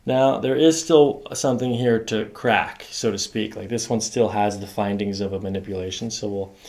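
A man in his thirties working as a chiropractor, calmly talking a patient through treatment with short, soothing, repeated instructions. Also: fairly low pitch, normal speed. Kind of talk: calm clinical talk with patient during ASMR adjustment. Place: small carpeted office treatment room. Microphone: microphone on boom stand nearby.

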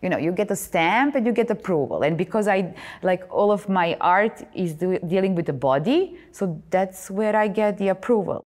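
A woman's voice: medium pitch, lively intonation, moderate pace, a little mellow. A woman in her thirties with a Serbian accent, a visual artist talking animatedly in an interview, with many fillers and restarts. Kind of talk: interview monologue. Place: boxing gym, indoors.

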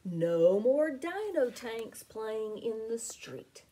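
A middle-aged woman reading a picture book aloud to children as a teacher, in an expressive, deliberate, sing-song way. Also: a little high, slight background noise. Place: small home room with window blinds.

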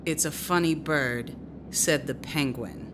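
A woman's voice: low voice, slow pace, background noise. An actress playing a mocking, exasperated young woman with a sardonic, slangy manner in a radio drama. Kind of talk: naturalistic radio drama dialogue.

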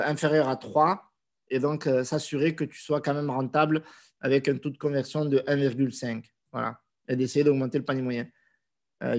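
A man in his thirties with a cold, casually answering viewer questions in a live stream from a small room on a video call with a desk microphone. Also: medium pitch. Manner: relaxed, conversational, with frequent hesitations. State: has a cold.

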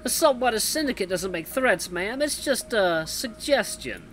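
Man putting on a high, slimy pirate fish mobster. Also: animated, normal speed, fairly bright tone, soft background music. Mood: veiled threat. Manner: swaggering, mock-polite, menacing. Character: thuggish barracuda pirate mobster, slimy extortionist. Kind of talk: hammy pirate gangster act.

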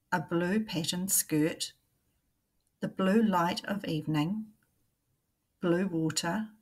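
A woman, a primary teacher reading a picture book aloud to young children in a deliberate, questioning way. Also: on the low side, breathy edge.

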